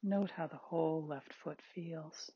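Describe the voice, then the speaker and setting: A meditation guide with a husky, breathy, androgynous voice, giving gentle, unhurried body scan instructions in short spaced phrases. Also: medium pitch, steady tone, no room sound, dull audio. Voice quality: very husky, breathy, at times near-whispered.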